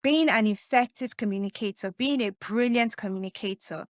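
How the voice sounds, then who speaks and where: A woman with a soft voice, teaching communication skills as a coach in an emphatic way, often putting questions to the listener. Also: fairly high pitch, normal speed, no echo, clean studio sound. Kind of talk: teaching presentation tips to camera.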